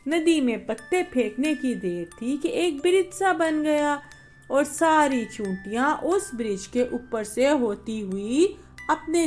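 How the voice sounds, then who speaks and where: A woman's voice: sits high, a little mellow. A woman narrating a bedtime story for children in a simple style, addressing her young listeners directly.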